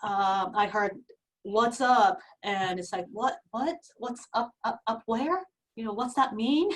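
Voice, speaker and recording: A woman's voice: a little high, slow pace, slightly rough, recorded from a distance, low-quality recording. A woman in her forties from Japan with a Japanese accent, a guest in an interview, speaking earnestly with frequent restarts. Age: 40s. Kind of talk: casual interview.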